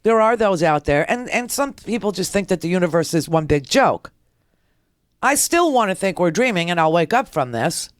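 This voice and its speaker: A woman's voice: lowish voice, very animated, normal speed, no echo, studio quality. A woman hosting a political talk radio show, sarcastic and combative, heckling the clips she plays.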